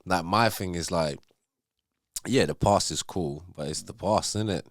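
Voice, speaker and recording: A young man from Zimbabwe speaks in a very low, deep voice. He rambles easily as a podcast guest, full of fillers and asides. The recording is close and dry, with no room sound. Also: unhurried, no echo. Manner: relaxed, rambling, full of fillers like "you know what I mean". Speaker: artist, guest. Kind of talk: casual podcast interview.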